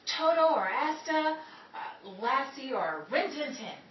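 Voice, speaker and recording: A woman's voice: high-pitched, very animated, takes it slowly, heavily acted. A woman playing a brash, wisecracking young aspiring actress in a slangy, theatrical 1930s Hollywood comedy. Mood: chatty, hopeful. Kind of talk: 1930s screwball comedy stage acting. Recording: distant dull echoey recording, noisy audience.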